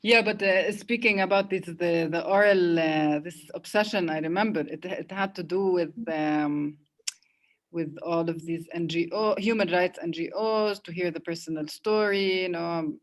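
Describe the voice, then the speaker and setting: A woman's voice: fairly low pitch, moderate pace. A woman hosting a casual online conversation, speaking reflectively with hesitations and restarts. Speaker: host.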